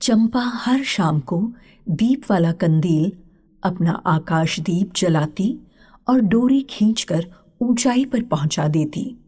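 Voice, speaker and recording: A woman narrating a literary story as a scripted storyteller, in a husky, breathy voice. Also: lowish voice, expressive, takes it slowly, a little mellow.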